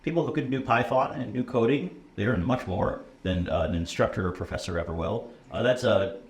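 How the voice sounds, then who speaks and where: A lower-pitched American man in his thirties talks through his career in a loose, conversational way, dropping ums and checking in with "okay?" as he explains. The room rings a bit around him, with a faint hum underneath. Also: brisk. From USA. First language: English. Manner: casual, many ums and uhs, checks in with "okay?". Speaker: computer science instructor. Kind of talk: relaxed conversational interview. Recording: roomy echo, faint room noise.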